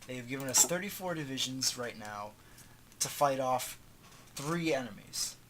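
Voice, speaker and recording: Man, stuffed-up nose, sore throat, bright voice, casual game tutorial, offhand slangy talk, faint keyboard clicks. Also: mid-range voice, expressive, normal speed, a little hiss or hum, low-quality recording. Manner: offhand, slangy, thinking aloud while clicking. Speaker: gaming tutorial host.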